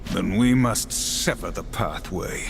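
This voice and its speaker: Grave male game warrior: low, measured, solemn and dutiful, music behind. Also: creaky, background noise, exaggerated voice. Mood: resolute. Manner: solemn, formal. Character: stern, dutiful warrior horseman, grave and formal. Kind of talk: video game cutscene acting.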